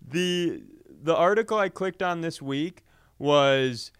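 A man chatting casually as a comedy podcast co-host, telling self-mocking, sweary stories in an animated way. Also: on the high side, takes it slowly, smooth, no room sound, clean studio sound.

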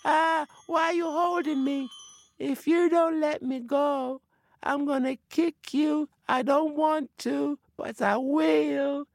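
Folk-tale forest spirit: tiny high voice, sweet yet pestering, pleading in drawn-out repeats. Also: steady tone, creaky, no room sound, exaggerated voice. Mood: stuck, distressed. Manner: pleading, repetitive, drawn-out calls.

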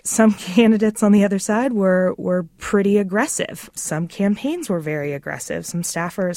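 A woman with a slightly low voice answers as an interview guest in a conversational way. The recording is dry, with little sense of a room. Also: mellow, no room sound. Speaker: political consultant, interview guest.

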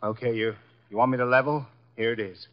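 An actor playing a surly, evasive small-time swindler in a 1950s radio drama, curt, slangy and defensive. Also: male voice, mid-range voice, steady tone, brisk, lightly acted. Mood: rattled, grudging. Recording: dull vintage 1950s radio recording.

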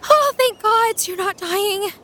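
Very high-voiced, tender, anxious adventurer. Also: female voice, bright, forceful tone, a little hiss or hum, full character voice. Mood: frightened. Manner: halting, anxious, caring. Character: tender, guilt-ridden young female fantasy adventurer. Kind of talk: audio fiction drama acting.

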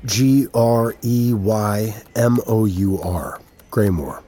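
A man's voice: fairly low pitch, slow pace, warm tone. An actor playing a guarded, worried man investigating in an audio drama, terse, hesitant and full of questions. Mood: focused, worried.

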